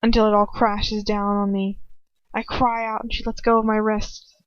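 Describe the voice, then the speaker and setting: A woman reading fan fiction aloud as an amateur, expressive and informal, stumbling over words and correcting herself. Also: mid-range voice, normal speed, no echo. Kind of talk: dramatic first-person story narration. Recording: dull, lo-fi home microphone.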